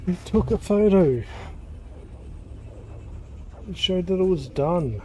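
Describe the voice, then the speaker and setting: Mellow-voiced man muttering through a game stream. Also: high-pitched, lively intonation, slow pace, slight background noise. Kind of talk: casual live gameplay commentary.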